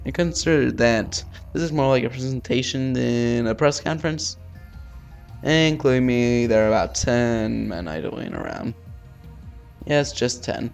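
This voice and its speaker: A man's voice: mid-range voice, measured pace, gravelly. Man reading a game aloud, music behind. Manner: stumbles over names, offhand swearing. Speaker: let's play host. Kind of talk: casual read-aloud of a visual novel with asides.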